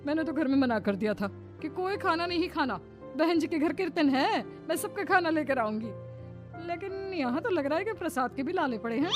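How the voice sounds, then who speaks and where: A woman's voice: high-pitched, lively intonation, normal speed, music in the background, voice pushed up. A woman voicing a complaining, hungry female guest, grumbling and catty, in a cartoon story.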